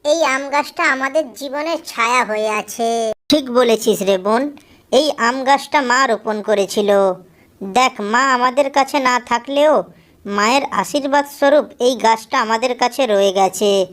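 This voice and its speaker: High-voiced earnest sister bird played by a woman. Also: unhurried, close to an everyday voice. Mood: affectionate. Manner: earnest, emotive storybook delivery. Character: caring, earnest young female bird, two devoted sisters.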